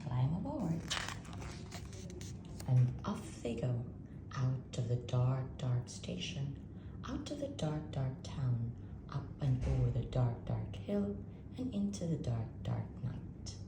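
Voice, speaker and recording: A woman reading a children's picture book aloud in an expressive, hushed and drawn-out spooky storytelling manner. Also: rumbling low, gravelly. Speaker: storytime reader. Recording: roomy echo, loud background noise.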